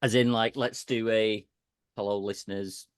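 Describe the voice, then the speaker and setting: A man's voice: on the low side, fairly even intonation, moderate pace, clean tone, dry recording, studio quality. A man co-hosting a podcast in casual, jokey banter, laughing often and nudging the group to begin. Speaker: podcast co-host.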